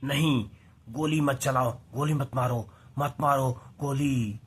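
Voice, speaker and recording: A man playing an excitable, devoted wildlife scientist in a dramatized adventure story, exclamatory and melodramatic. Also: mid-range voice, slow, husky. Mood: anguished.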